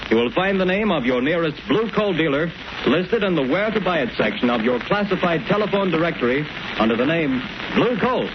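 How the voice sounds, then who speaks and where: A man's voice: on the high side, animated, a little fast. A man reading a sponsor advertisement in an earnest, persuasive 1930s radio announcer style. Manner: earnest, persuasive salesman delivery. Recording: muffled old radio broadcast with hiss.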